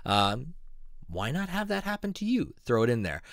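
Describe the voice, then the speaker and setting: A middle-aged man from southeastern Pennsylvania giving a playful, animated casual video lesson, laughing often. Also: medium pitch, moderate pace, no echo, clean studio sound. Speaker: YouTube language teacher. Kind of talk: casual vlog-style lesson.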